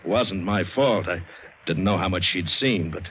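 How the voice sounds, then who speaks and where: Man acting a low-voiced, hard-boiled cynical adventurer. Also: normal speed, slight background noise, close to an everyday voice. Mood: tense, wry. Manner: terse, bitter. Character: hard-boiled, cynical male adventurer narrating his story. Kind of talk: 1940s-50s radio drama narration and acting. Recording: dull old radio broadcast with music.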